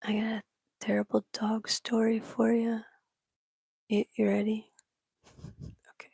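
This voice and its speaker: A woman from Michigan whispering in casual chat with her livestream viewers, breathy and laughing often. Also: on the high side, completely flat delivery, unhurried, dark tone, no room sound, studio quality. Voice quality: breathy, gravelly. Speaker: livestream host.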